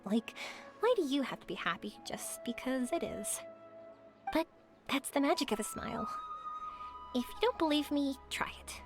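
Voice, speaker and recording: A woman playing a cheerful, warm-hearted young woman in a video game, earnest and encouraging as she talks. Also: high voice, expressive, normal speed. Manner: bright, earnest, coaxing. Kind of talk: animated video game voice acting. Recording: game music playing behind.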